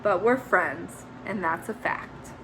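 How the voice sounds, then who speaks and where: A woman's voice: lowish voice, slow pace, noisy background. Stellaluna, a woman reading a young bat. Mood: gentle. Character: young female fruit bat, earnest and bewildered.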